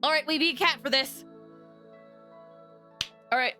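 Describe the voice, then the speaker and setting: A woman game streamer chatting casually with her viewers in an excitable, chatty manner. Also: high voice, a little slow, soft background music. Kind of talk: casual livestream chat with viewers.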